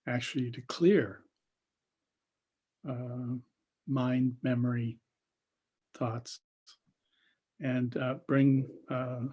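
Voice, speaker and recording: A man with a husky voice leading an unscripted guided meditation as a teacher, speaking haltingly with long pauses and trailing phrases. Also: mid-range voice, steady tone, dark tone.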